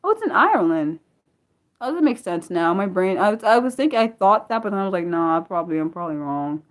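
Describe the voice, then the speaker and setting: An American woman hosting a casual livestream, rambling and laughing often, trailing off with self-deprecating asides and swinging from loud bursts to soft mumbles. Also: mid-range voice, big pitch swings, quick pace. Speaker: livestream host. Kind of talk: casual unscripted livestream chat, answering viewers.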